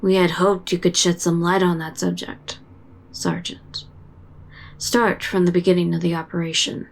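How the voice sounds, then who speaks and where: A woman's voice: on the low side, little pitch movement, moderate pace, gravelly. A woman playing a formal military investigator in a sci-fi audio drama, controlled and official as she questions a soldier. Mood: controlled, firm.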